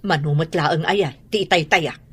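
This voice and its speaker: A woman's voice: sits low, normal speed, fairly bright tone, slightly rough. A Filipino woman with an Ilocano accent playing a defensive, wheedling grown daughter in a radio drama.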